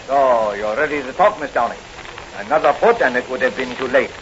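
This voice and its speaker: An actor with an American accent playing a tough, commanding figure in a 1940s radio crime drama. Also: male voice, mid-range voice, brisk, natural voice. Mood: menacing. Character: ruthless gangsters and a stern masked crimefighting hero. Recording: noisy, muffled old radio recording.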